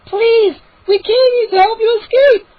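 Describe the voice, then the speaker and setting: A woman's voice: very high-pitched, a little slow, no room sound, highs cut off, pitched above the performer's own voice. A woman voicing a frightened young girl who screams desperately at her captors.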